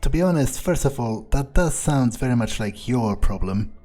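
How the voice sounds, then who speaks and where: A man improvises a cynical vampire in a game playthrough. His voice is mellow and unremarkable in pitch, with a deadpan, offhand, sarcastic delivery. Soft game music sits behind it. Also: moderate pace, lightly acted. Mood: dry, sarcastic. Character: cynical, bored male vampire, casually murderous. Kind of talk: improvised in-character gaming playthrough.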